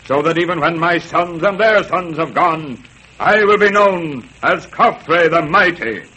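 Boastful old king, guy acting it, slow commanding voice, loud hiss behind. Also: fairly high pitch, pitched above the performer's own voice, natural voice. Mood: pompous. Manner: pompous, commanding. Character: vain, boastful ancient king. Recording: noisy old film sound.

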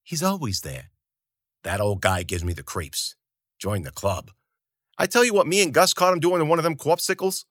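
A man's voice: a little high, sing-song, normal speed, a bit bright, no room sound, clean studio sound, voice pushed up. A man voicing a pair of crude male thugs who bicker with each other.

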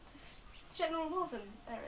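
A woman's voice: sits high, animated, brisk, noisy background. Giggly nasal young woman. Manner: giggly, playful banter. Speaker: podcast co-host. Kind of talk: casual chat.

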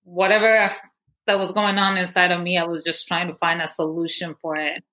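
Woman guest from Guatemala, lower register, candid storyteller. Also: flat delivery, brisk, bright, forceful tone, rough recording. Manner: candid, with frequent fillers. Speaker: guest, movement founder. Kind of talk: personal story interview.

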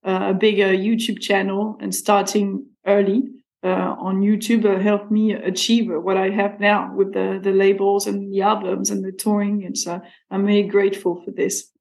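A woman's voice: mid-range voice, level tone, normal speed, creaky, hardly any pauses, darkish tone, poor audio. A woman from France, a guest musician in her thirties, speaks English with a French accent in a casual interview, hesitant with many fillers and restarts.

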